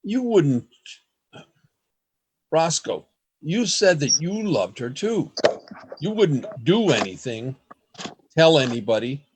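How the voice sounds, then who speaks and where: An actor in a radio play playing an earnest, desperate man in love, with a husky voice and a dramatic, pleading delivery. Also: a little high, very animated, slow, pauses often, heavily acted, rough recording. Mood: anxious. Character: anguished lovesick man, earnest, desperate, hiding among others.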